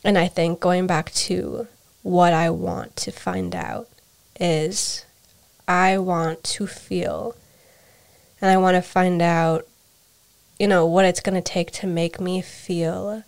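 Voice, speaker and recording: A woman's voice: on the low side, steady tone, slow pace. A young adult woman with an American accent, speaking candidly and introspectively in a reflective conversation, often repeating phrases as she searches for words. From United States. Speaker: interview guest, adoptee.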